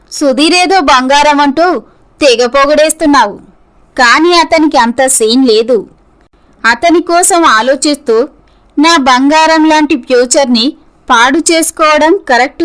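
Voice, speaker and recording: Casting: female actor as young woman in story drama, high voice, earnest, deliberate dramatic dialogue. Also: rough recording. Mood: dismissive. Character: earnest young office woman in a troubled romance. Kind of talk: scripted moral story dialogue.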